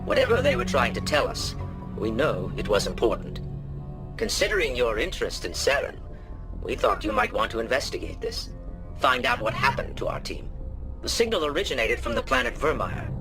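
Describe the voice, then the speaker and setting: Formal alien councilor, lively pitch, music behind. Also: male voice. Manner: formal, measured briefing.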